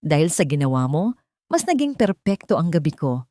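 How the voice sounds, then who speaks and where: A woman's voice: low-pitched, big pitch swings, normal speed, dark tone, dry recording, clean studio sound. A woman voicing a cold, calculating male crime boss in an audio drama, menacing and contemptuous. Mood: gloating menace.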